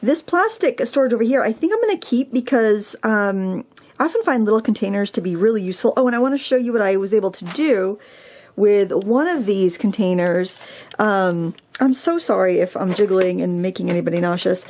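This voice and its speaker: A woman with a Maine accent talks her way through tidying her craft room at a middle pitch. She rambles and second-guesses herself with plenty of ums and likes. The sound comes from a camera held in her own hand indoors. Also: animated, brisk, a little mellow. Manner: rambling, self-deprecating, many ums and likes. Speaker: craft vlogger. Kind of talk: casual chatty vlog while tidying. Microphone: handheld camera mic.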